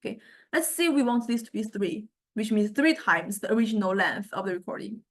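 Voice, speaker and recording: A woman lecturer explaining a software demonstration in a halting way, often repeating words as she searches for the next one. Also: mid-range voice, lively intonation.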